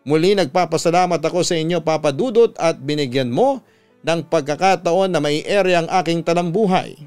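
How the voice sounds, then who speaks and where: A Filipino man hosting a radio story program, reading scripted listener letters and giving advice in an earnest, measured way. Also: fairly high pitch, a little mellow, clear, resonant tone. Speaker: radio host and story narrator. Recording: music under the voice. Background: background music.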